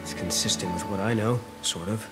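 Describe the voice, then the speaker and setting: An actor playing a blunt, earnest young warrior with a protective streak in a video game. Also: male voice, lowish voice, brisk, close to an everyday voice. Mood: probing. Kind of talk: dramatic video game voice acting. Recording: loud game music behind the voice.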